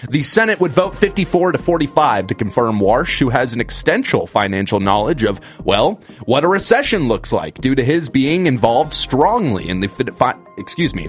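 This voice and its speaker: Casting note: man, early twenties, relaxed upbeat host patter with wry asides. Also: medium pitch. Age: early 20s. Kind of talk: casual chat and headline reading.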